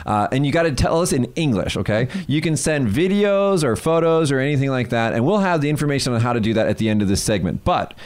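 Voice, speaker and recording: American male host, animated casual delivery, very lively pitch. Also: quick pace, hardly any pauses. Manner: animated, fillers like "like". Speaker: show host. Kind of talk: casual chat.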